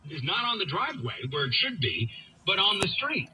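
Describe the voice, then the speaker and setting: A man's voice: high-pitched, big pitch swings, a little fast. A man hosting talk radio, giving an opinionated, emphatic monologue and repeating phrases for effect. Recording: radio broadcast with faint background noise.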